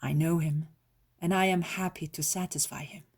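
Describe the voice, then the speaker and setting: A woman voicing a gentle, solemn male teacher who speaks calmly and slowly. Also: sits low, husky. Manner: calm, slow, solemn.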